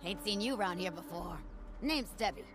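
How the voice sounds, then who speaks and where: A woman's voice: medium pitch, animated, fairly quick, loud music behind the voice, natural voice. An actress playing a friendly, chatty ghoul handywoman in a video game, with a folksy, easygoing way of talking. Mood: friendly, amused. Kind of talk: video game character dialogue. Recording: noisy game ambience behind voice.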